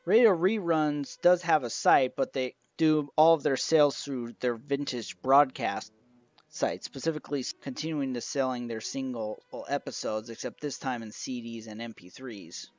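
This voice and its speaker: A man's voice: medium pitch, moderate pace, darkish tone, lo-fi sound. A man narrating an informal review of a collection as its reviewer. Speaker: reviewer of an old radio show collection. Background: music.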